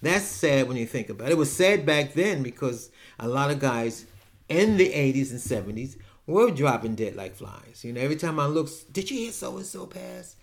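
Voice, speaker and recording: A man's voice: mid-range voice, very animated, normal speed. A man, talking frankly and rambling through a casual personal monologue with many restarts. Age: 70s. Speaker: podcast host.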